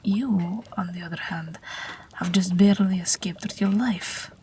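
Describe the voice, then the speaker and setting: A woman's voice: lowish voice, a little hiss or hum, close to an everyday voice. A woman voicing an ageless Russian night witch pilot, wry, tender and weary, in an intimate, whispery audio roleplay. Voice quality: breathy, whispery. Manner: gentle, dryly wry, unhurried.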